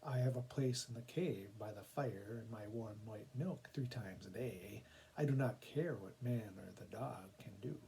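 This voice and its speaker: A man as a sly, mellow storybook cat. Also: lowish voice, a little slow, vocal fry, slight background noise, voice pushed down, poor audio. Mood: indifferent. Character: sly, self-possessed male cat, coolly bargaining.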